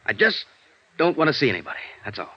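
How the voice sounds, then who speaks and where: A man's voice: medium pitch, sing-song, fast talker. An American man acting a defiant, bitter, educated embezzler held in jail, agitated and defensive, in a vintage radio drama. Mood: sullen. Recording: dull lo-fi vintage radio recording.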